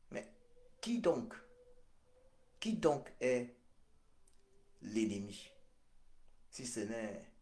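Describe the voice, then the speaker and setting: Slow, emphatic man, roomy echo. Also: medium pitch, darkish tone. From Cameroon. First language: Ghomala' (Baham). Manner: emphatic, deliberate, repeats phrases with frequent hesitations. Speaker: activist leader. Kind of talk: address to supporters.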